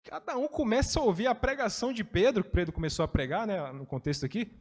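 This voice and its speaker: A man's voice: sits high, animated, fast, in a large hall. A man teaching a Bible study in a chatty way, explaining with everyday examples.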